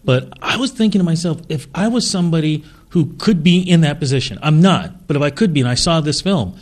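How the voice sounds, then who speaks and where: A man's voice: a little high, animated, fairly quick. A man interviewing an actress, asking friendly questions and sharing his own stories along the way. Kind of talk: casual interview chat.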